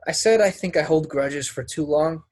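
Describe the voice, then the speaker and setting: A man's voice: fairly high pitch, normal speed, darkish tone, no echo, natural voice. Blunt young actor with a grudge, played by a man. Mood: subdued, reflective. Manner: blunt, casual, clipped. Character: brash, resentful young male actor with a grudge. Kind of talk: play readthrough acting.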